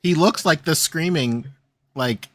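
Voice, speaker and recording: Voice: mid-range voice, slow pace, no room sound, studio quality. A co-host in their late twenties on a casual podcast, enthusiastic, laughing a lot and tossing in short reactions. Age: 20s. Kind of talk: casual chat about action figures.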